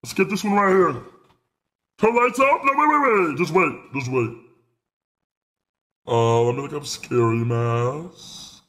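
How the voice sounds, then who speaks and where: A nineteen-year-old man streaming live from a small room on a headset mic, excitable and rambling, swearing freely. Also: on the high side, measured pace, long pauses. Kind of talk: casual live stream chat.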